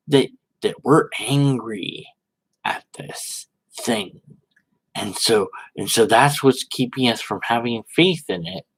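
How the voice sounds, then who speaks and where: A man giving informal, sarcastic commentary and dramatic readings, with a halting, theatrical manner. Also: mid-range voice, breathy edge, low-quality recording. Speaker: host reading and commenting on social media posts.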